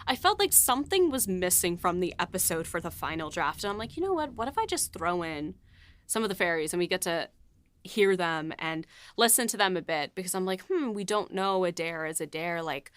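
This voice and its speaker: A woman's voice: lowish voice, lively intonation, a little fast. A woman writer co-hosting a casual behind-the-scenes talk, rambling with lots of fillers and laughs. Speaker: writer and podcast co-host.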